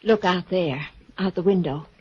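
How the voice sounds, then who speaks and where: An actress playing an anxious, coaxing wife in a haunted-house mystery, in 1940s radio drama style. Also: female voice, on the low side, normal speed, a little mellow, slightly rough, lo-fi sound. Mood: urgent, puzzled. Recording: noisy, band-limited old radio recording.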